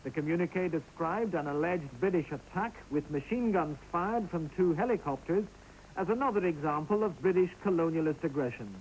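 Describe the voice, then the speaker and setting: A man's voice: on the high side, a little fast, darkish tone, slight background noise, low-quality recording. A man reading a scripted news report as a correspondent.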